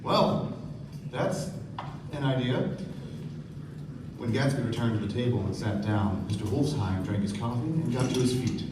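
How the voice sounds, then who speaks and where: A man's voice: medium pitch, lively intonation, a little slow, long pauses, close to an everyday voice. A man acting an earnest, naive young man in a live radio play, both narrating and in dialogue. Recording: echoey stage, distant mic, noisy room.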